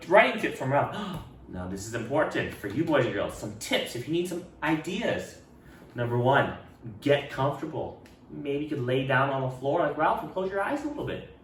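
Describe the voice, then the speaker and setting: A man reading a picture book aloud to children in an expressive manner, speaking to them directly. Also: medium pitch, moderate pace, slight background noise. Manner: expressive, addresses children directly, acts out dialogue. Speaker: read-aloud storyteller for children. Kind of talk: animated picture-book read-aloud with asides. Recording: roomy echo, distant microphone.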